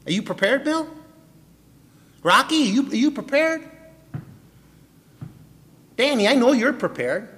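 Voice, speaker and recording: A man's voice: very high voice, big pitch swings, measured pace, long pauses, a bit bright. A man from Milwaukee preaching a sermon with a personal testimony, putting questions to the listeners and calling on them by name. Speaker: pastor. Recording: long hall reverb.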